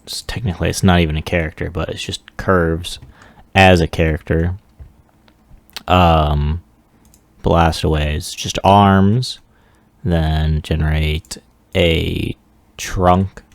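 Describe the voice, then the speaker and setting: A man giving a casual, unscripted software walkthrough in a halting, offhand way with mumbled asides. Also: low voice, steady tone, gravelly, dry recording. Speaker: 3D animation hobbyist presenting a demo.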